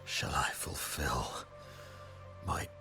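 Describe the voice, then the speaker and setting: A man playing a grandiose, dying religious leader in a video game, declaiming solemnly and haltingly in gravelly, whispery tones. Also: music in the background. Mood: proud, dying. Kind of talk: dramatic game voice acting.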